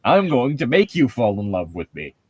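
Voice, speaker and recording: A man's voice: mid-range voice, big pitch swings, moderate pace, slightly rough, no room sound. A young man casually bantering and reading aloud in a mocking, sarcastic way, swearing freely. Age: young adult. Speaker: co-host reading and mocking a book.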